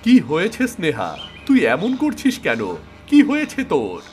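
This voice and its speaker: A man voicing an accusing, vengeful older brother in an animated thriller. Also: sits high, a little slow, soft background music, exaggerated voice. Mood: alarmed. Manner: accusing, confrontational. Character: furious, vengeful older brother of a wronged sister.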